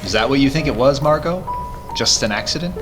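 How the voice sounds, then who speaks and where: An actor playing a calm, thoughtful sleuth, measured and deliberate in old-time radio style. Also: male voice, medium pitch, over music, lightly acted. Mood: pensive.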